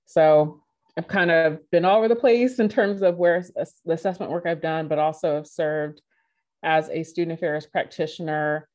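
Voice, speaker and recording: Woman in her 40s, low voice, chatty and animated, laughs a lot telling stories. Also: normal speed. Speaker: podcast guest. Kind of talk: conversational interview answers.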